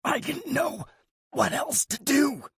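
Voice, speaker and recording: A man's voice: very high voice, lively intonation, slow pace, vocal fry, a bit bright, dry recording, clean studio sound, voice pushed up, heavily acted. A man voicing a guilt-ridden younger brother who pleads in a strained, choking voice for an audiobook. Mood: pleading.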